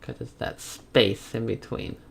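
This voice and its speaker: A man's voice: a little slow, fairly bright tone, slight background noise. A man chatting casually on a live stream as he works, sometimes muttering to himself. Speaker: streamer, game developer. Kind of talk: casual live stream chat while drawing.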